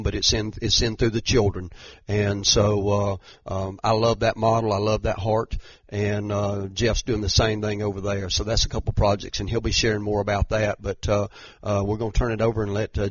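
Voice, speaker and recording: Pastor, low flat voice, hesitant, muffled lo-fi sound. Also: male voice, no echo. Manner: hesitant, filler-heavy. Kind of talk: church announcements.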